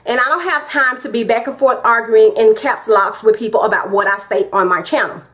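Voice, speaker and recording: Southern US woman vlogger, slightly high voice, emphatic, repeats her points, direct address to camera. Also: a little fast, a bit bright. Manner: emphatic and repetitive, talks straight to viewers. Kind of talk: casual opinion vlog.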